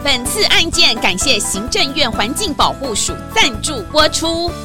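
A woman's voice: sits high, very animated, a little slow. A woman with a Taiwanese accent narrating a story for children, speaking straight to young listeners in a singsong manner. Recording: music under the voice.

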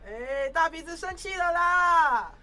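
A woman's voice: very high voice, lively intonation, slow pace, pushed and bright, faint music behind, heavily acted. A woman playing a dismissive, teasing schoolgirl classmate in an audio drama. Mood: mocking.

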